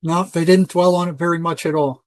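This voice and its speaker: An older man answering as an interview guest in a conversational, halting way, often repeating words and restarting. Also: a little high, fairly even intonation, no echo. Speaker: interview guest, retired psychotherapist.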